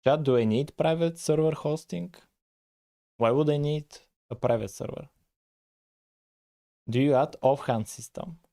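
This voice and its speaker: A man, talking casually on a live coding stream, thinking aloud with frequent pauses and "uh"s. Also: a little high, mellow. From Bulgaria. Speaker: live-streaming game developer.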